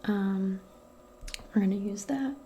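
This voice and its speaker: Soft, whispery woman, slow ASMR role play. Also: mid-range voice. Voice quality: soft, breathy, often dropping into a whisper. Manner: gentle, casual, hesitant chatter aimed closely at the listener. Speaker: ASMR performer role-playing as a modern witch.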